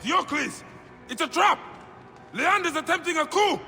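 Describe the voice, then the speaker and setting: An actor playing a calm, dry, righteous Egyptian warrior in a video game. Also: male voice, extremely high pitch, a little slow, gravelly, full character voice. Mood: urgent shouting. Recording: loud music behind voice.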